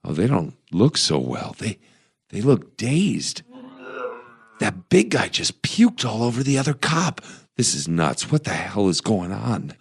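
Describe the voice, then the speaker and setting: An actor playing an uneasy, reclusive record collector in a horror audio drama, hesitant and muttering to himself. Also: male voice, lowish voice, wildly swooping pitch, a little slow, gravelly, slightly rough, heavily acted. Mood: alarmed, muttering.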